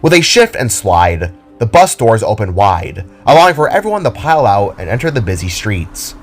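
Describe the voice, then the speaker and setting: A man's voice: fairly low pitch, very animated, normal speed. A man reading scripted story narration as the narrator of an audio drama, with music behind him. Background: background music.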